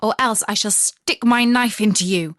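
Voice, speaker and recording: A woman's voice: medium pitch, normal speed, a bit bright, no echo, clean studio sound, close to an everyday voice. A woman voicing a fierce but kindly little robber girl in a storybook, commanding and blunt. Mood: threatening.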